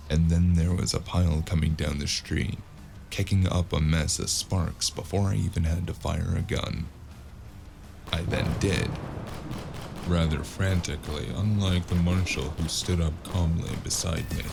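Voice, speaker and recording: A man with a gravelly voice narrating a cyberpunk noir audio drama in the first person, as a weary, wry gunman. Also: deep voice, noisy background. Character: weary, wry male cyberpunk gunman telling his own story. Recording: music under the voice.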